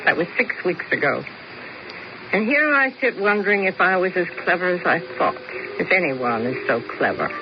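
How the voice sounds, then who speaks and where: A woman's voice: fairly low pitch, lively intonation, moderate pace, muffled recording. An actress playing a cool, scheming woman who narrates a 1970s radio drama. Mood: brooding. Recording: hissy old radio broadcast.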